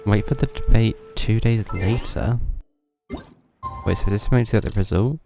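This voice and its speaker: A woman streamer chatting offhandedly as she plays, muttering and correcting herself. Her voice sits extremely low with a gravelly fry and a dark, mellow tone. Faint game music runs beneath. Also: moderate pace. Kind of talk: casual gameplay commentary.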